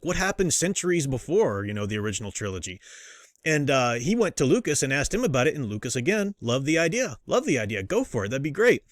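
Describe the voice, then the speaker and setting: A man's voice: medium pitch, no echo, clean studio sound. A man hosting a casual review, explaining things in an enthusiastic, emphatic, teacherly way. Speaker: host and book reviewer. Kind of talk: casual comic review commentary.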